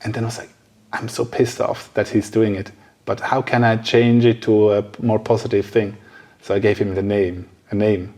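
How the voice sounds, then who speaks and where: A man talking casually and a little haltingly as an interviewer in a podcast conversation. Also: on the low side, little pitch movement, dark tone.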